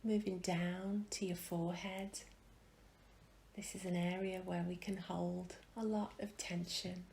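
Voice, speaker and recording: Woman, meditation guide, very slow, even low-toned delivery, gentle, soft whispery phrase endings, faint background noise. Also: gravelly. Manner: gentle, soothing, measured with long pauses.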